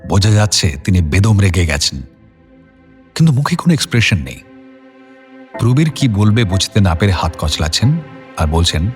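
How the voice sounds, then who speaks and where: A man's voice: low-pitched, big pitch swings, normal speed, long pauses, soft background music. A man narrating a scripted suspense story in a dramatic storytelling style.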